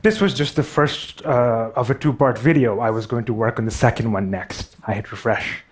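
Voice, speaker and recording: A man with a Pakistani accent speaks to an audience at a mid-range pitch. His storytelling is personal and self-deprecating, with occasional halting phrases. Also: husky. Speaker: public speaker.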